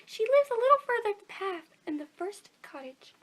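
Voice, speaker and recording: A woman reading a storybook aloud and voicing a polite, trusting little girl in an eager, bright way. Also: very high-pitched, very animated, moderate pace, pitched above the performer's own voice. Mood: innocent.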